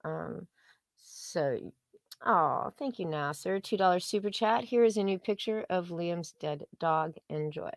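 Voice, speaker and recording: A woman's voice: fairly low pitch, expressive, unhurried, gravelly, no room sound, clean studio sound. A woman co-hosting a livestream with casual commentary, blunt and sarcastic, with frequent swearing. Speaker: co-host of a livestream.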